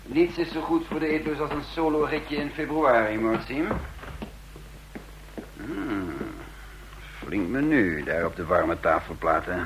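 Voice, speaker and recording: Brief: a genial gentleman spy, easygoing and musing, ordinary mid pitch. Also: unhurried, pauses often, a little hiss or hum, low-quality recording. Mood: genial, relaxed. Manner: relaxed, genial, musing with hums. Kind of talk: vintage radio drama acting.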